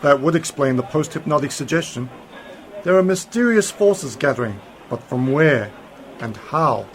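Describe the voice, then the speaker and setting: A man's voice: a little high, animated, moderate pace, poor audio. A man playing a mysterious, authoritative time-travelling uncle in an amateur science fiction radio play, pondering aloud with slightly theatrical emphasis. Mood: pondering, suspicious.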